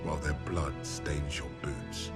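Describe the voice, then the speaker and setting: An actor playing a steely British soldier and leader, composed, pointed and unflinching, with a British accent, in a war game. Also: male voice, extremely low pitch, fairly even intonation, unhurried, loud music behind the voice. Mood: solemn. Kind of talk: cinematic war game acting.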